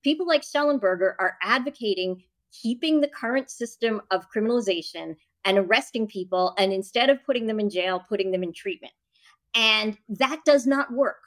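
A woman's voice: mid-range voice, moderate pace, fairly bright tone. A woman interview guest debating and explaining her position in an insistent, argumentative manner. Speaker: guest, author and journalist.

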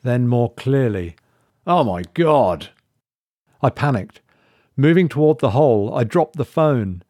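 A man reading a scripted horror story as its narrator, in a measured, ominous way with deliberate pauses. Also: mid-range voice, animated, dark tone, dry recording, clean studio sound.

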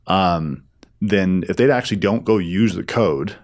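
Very deep-voiced man explaining in an interview. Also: unhurried. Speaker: interview guest, film studio executive.

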